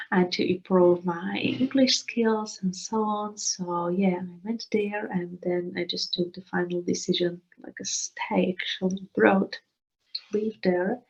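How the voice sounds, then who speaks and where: Woman from Slovakia, 30s, English, halting interview guest, muffled call audio. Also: medium pitch. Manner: hesitant, many restarts and fillers, laughs often. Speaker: guest, expat coach. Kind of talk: casual interview answers. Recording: slightly dull lo-fi call audio.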